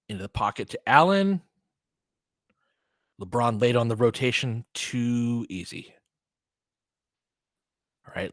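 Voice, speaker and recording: A man's voice: mid-range voice, a bit bright, dry recording, clean studio sound. A man giving casual, slangy live basketball play-by-play as a partisan fan host, often in short clipped phrases.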